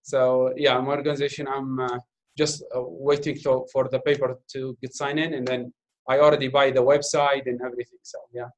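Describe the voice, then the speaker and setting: A man in his thirties, a native Arabic speaker from Iraq, answering interview questions in halting English full of fillers and restarts. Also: mid-range voice, flat delivery. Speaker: interview guest, doctoral student. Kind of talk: casual Q&A talk.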